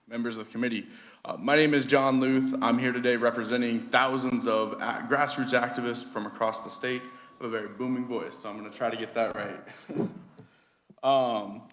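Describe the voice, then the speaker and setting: A man's voice: medium pitch, a bit bright. A man giving prepared testimony to a legislative committee, reading formally with a few stumbles and restarts. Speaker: policy advocate testifying.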